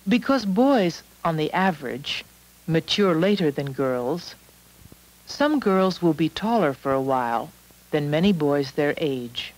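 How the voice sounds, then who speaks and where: A woman reading scripted narration for an educational film in a measured, instructional manner. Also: low-pitched, very animated, low-quality recording.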